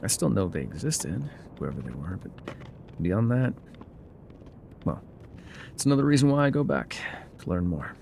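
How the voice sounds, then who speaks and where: A man playing a laconic, weathered frontier guide in a calm, haunted, musing ASMR roleplay, with a low gravelly voice and folksy pauses. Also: slight background noise, exaggerated voice. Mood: wistful, haunted. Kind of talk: immersive ASMR roleplay monologue.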